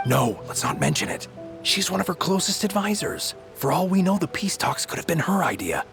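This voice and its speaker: An actor playing a suspicious, cautious soldier sharing secrets in a video game. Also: male voice, high-pitched, huge pitch swings, a little fast, gravelly, music in the background, heavily acted. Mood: wary, conspiratorial.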